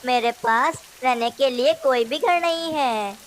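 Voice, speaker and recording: A sly, lazy cartoon crow acted by a woman speaks in a high voice. He is a brazen male schemer, always out to trick the other birds. A faint hiss sits behind the voice. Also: a little slow. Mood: brazen, dismissive. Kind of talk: Hindi children's cartoon dialogue.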